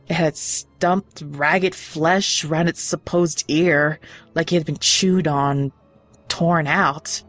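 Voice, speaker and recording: Low-voiced woman reading horror, over music. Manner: suspenseful, with deliberate pauses for emphasis. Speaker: horror story reader. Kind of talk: dramatic scripted narration of a first-person horror story. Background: background music.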